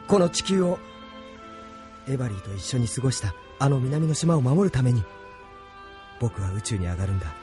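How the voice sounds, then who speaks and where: A man playing an earnest, resolute young space pilot in a Japanese anime audio drama, speaking gravely and sincerely. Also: mid-range voice, mellow, natural voice. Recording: studio drama with background music.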